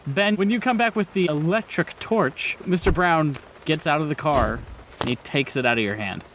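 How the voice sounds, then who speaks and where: A man's voice: a little high, moderate pace, fairly bright tone, slight background noise. A man narrating a tabletop roleplaying game as game master in an informal, conversational way.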